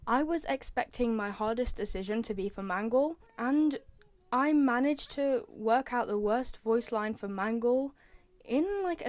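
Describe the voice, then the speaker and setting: Woman, fairly high voice, casual fan commentary, emphatic, rambling, close dry sound. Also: a little mellow, no echo. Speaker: fan commentator ranking game voice lines. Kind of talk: casual opinionated chat.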